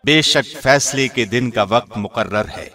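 A man reading a scripture translation in a formal, measured radio-host style. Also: medium pitch, pushed and bright.

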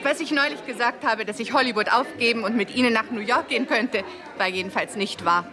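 An actress playing a vivacious, theatrical Hollywood star hosting a party in a 1960s German radio drama. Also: female voice, on the high side, brisk, natural voice. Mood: resigned, wry. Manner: theatrical, effusive. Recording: music and party chatter behind. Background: music and a chattering crowd.

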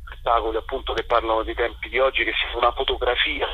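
A man with a slightly husky voice, chatting informally as an interview guest. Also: medium pitch, level tone, fast, dry recording. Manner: chatty, informal, peppered with tags. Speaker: actor and playwright, interview guest. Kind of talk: casual radio interview. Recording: dull lo-fi telephone interview.

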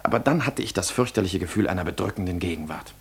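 An actor playing a fervent, proud young romantic dreamer in a German radio play, declamatory and lyrical. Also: male voice, low voice, lively intonation, quick pace, breathy edge, exaggerated voice. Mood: pleading, ardent.

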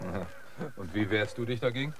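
Actor playing a low-voiced, wary old newcomer. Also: male voice, fast, background noise, lightly acted. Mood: calm, wary. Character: newcomer man of eighty-six, inquisitive, steady, wary. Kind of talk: German radio play acting.

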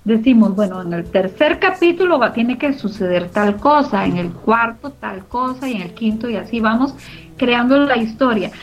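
A woman's voice: a little high, animated, normal speed. A woman explaining her radio production work in an interview, searching for her words as she goes. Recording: muffled sound with music underneath. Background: music.

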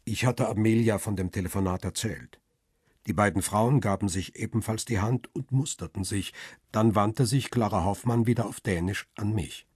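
An actor playing a photographer who tells his own story in a radio crime drama, measured and observant, with a rough, husky voice. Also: on the low side, darkish tone, no echo, studio quality. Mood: measured, reflective. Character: male photographer, husband, observant first-person narrator. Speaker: narrator and lead actor. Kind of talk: first-person radio crime drama narration.

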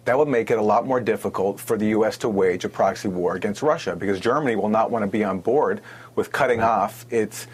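A man giving spoken commentary as an interview guest, with a husky voice. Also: on the low side, brisk.

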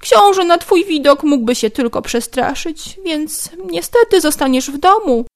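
Woman acting a falsely sweet stepmother, raised pitch. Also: animated, measured pace. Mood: mock pity. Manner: gushing, mock-pitying. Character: falsely sweet, scornful middle-aged stepmother.

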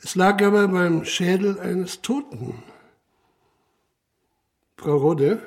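An actor playing a dogged police inspector in a historical radio drama, measured, dry and insistent, with a husky voice. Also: male voice, high voice, darkish tone, full character voice. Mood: probing, insistent. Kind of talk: radio drama dialogue and sober first-person narration.

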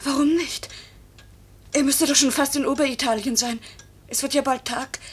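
An actress playing a pilot's anxious wife, pleading and accusing in urgent questions, in 1950s German radio drama style. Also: female voice, sits high, steady tone, normal speed, lightly acted. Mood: agitated, pleading. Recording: 1950s radio play recording.

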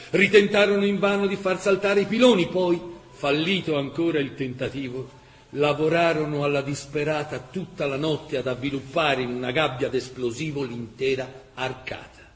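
A man's voice: fairly high pitch, fairly even intonation, moderate pace. A man narrating a scripted radio drama in an impassioned, emphatic, literary way. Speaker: actor narrator.

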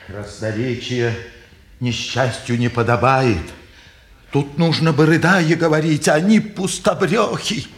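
A man's voice: medium pitch, unhurried, lightly acted. An actor playing a grumpy middle-aged watchmaker father, scolding and sententious, in 1950s Soviet stage style. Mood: grumbling. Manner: grumbling, sententious. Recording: 1950s roomy theatre recording.

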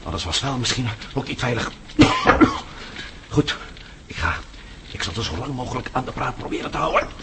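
Male actor as stubborn adventurer, wry, defiant, mid-pitched, animated intonation, radio drama, heavy background noise. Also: normal speed, pauses often, lightly acted. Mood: tense, resigned. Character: defiant, gritty adventurer hero held by a gang. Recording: very noisy old radio drama recording.

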